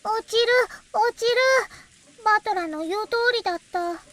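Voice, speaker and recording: A small, quirky girl in a visual novel game. The voice is extremely high, childish and simple in its phrasing, with drawn-out cries. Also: fairly bright tone, a little hiss or hum. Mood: fretful.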